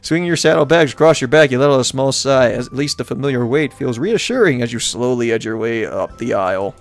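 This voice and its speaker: A man's voice: mid-range voice, animated, fairly quick. A man narrates a visual novel in a casual let's play style. He slips between reading and playful, wry remarks, with music running behind his voice.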